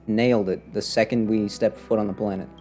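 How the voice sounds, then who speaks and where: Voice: medium pitch, fairly even intonation, brisk, mellow, faint music behind. A streamer giving casual, slangy commentary over a video game in a sarcastic, questioning manner. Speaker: live streamer.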